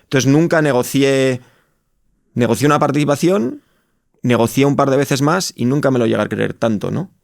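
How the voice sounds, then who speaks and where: A man with a Spanish accent from Spain speaks as a guest in a conversational interview. His voice sits at an average pitch with plenty of creak, recorded close and dry. He talks reflectively, rewording his thoughts as he goes. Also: normal speed, no room sound. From Spain (Madrid). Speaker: interview guest, startup co-founder. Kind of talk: conversational personal storytelling in an interview.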